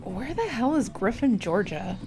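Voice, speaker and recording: Woman playing an offhand scientist with creaky voice. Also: fairly high pitch, sing-song, a little slow, music in the background. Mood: focused, puzzled. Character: casual, level-headed female earthquake monitoring scientist. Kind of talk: audio drama dialogue.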